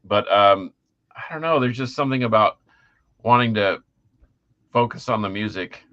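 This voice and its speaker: Middle-aged male podcast co-host, bright edge to a low voice, informal and keen, lo-fi sound. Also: moderate pace. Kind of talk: casual music chat.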